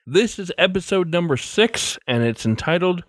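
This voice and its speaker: A man's voice: high voice, lively intonation, moderate pace, no echo. A man hosting a podcast, announcing from a script. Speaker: podcast host. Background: music.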